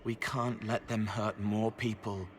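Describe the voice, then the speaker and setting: An actor playing an eerie, gentle young man in a fantasy game, speaking softly and poetically. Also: lowish voice, level tone, unhurried, slight background noise, lightly acted. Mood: haunted worry.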